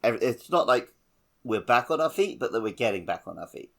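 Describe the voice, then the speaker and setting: Wry man with a mid-pitched voice. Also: very animated, fairly quick, vocal fry, fairly bright tone. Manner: wry, joking. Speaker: podcast co-host. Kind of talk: casual video game podcast chat.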